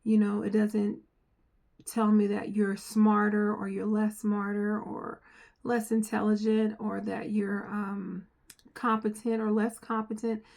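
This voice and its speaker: A middle-aged woman from the United States, with an accent that mixes New Jersey, New York and North Carolina, talking earnestly to camera as a software trainer and repeating phrases for emphasis. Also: medium pitch, monotone, takes it slowly, vocal fry. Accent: mixed New Jersey, New York and North Carolina. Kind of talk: earnest direct-to-camera talk.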